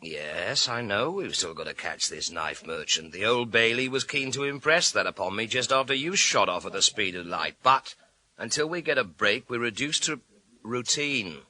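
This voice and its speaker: An actor playing a dry, sardonic British police detective inspector in a radio thriller, blunt and clipped in manner. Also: male voice, on the low side, expressive, moderate pace, bright, forceful tone, poor audio. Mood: weary, wry. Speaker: actor in a radio crime drama.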